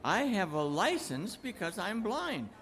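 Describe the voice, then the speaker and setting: Someone acting a frightened, stammering old beggar, slow-spoken. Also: mid-range voice, big pitch swings, fairly bright tone. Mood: frightened, pleading. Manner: stammering, repetitive pleading. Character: frightened, pleading old blind beggar man. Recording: echoey hall.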